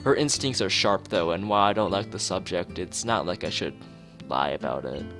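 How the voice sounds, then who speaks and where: A man's voice: sits low, moderate pace, a bit bright, soft background music. A man live streaming, mixing joking, mock-formal commentary with reading story text aloud from a game. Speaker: streamer playing a visual novel.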